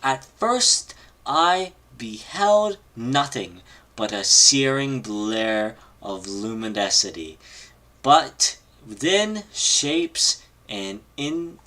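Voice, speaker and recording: Slow-paced man reading a horror story aloud. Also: medium pitch, very animated, bright, forceful tone. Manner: halting, stumbles over words, giggles at mistakes. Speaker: amateur reader on a live read-along stream.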